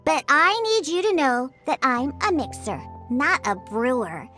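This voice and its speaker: A woman voicing a proud, prickly young girl in a video game, haughty and grudging but secretly soft-hearted. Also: high-pitched, big pitch swings, unhurried, faint music behind, heavily acted.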